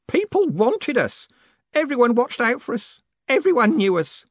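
A man voicing a humble, tearful older male postal clerk who pleads in a trembling high voice. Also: big pitch swings, moderate pace, fairly bright tone, no room sound, highs cut off, exaggerated voice. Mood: pleading tearful.

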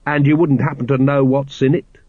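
Brief: man reading as a worn-out middle-aged captain, low and dry, dull sound. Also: little pitch movement, a little fast, no echo, close to an everyday voice. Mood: weary. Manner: tired, dry, weary. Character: weary, hard-drinking middle-aged male watch captain.

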